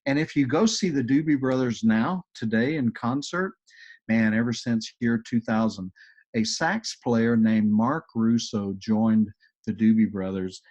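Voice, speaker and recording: A man with a Texas accent, an agile coach presenting in a webinar, talking in a relaxed, chatty way with many ums and restarts. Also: lowish voice. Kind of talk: webinar explanation and Q&A. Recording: dull, muffled web call audio.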